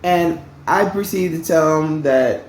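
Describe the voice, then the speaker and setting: A man's voice: a little high, takes it slowly, roomy sound, poor audio. A man casually telling a story about his job, rambling and repeating himself, often asking "okay?" and swearing bluntly. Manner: blunt, uses profanity freely. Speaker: vlogger telling a work story.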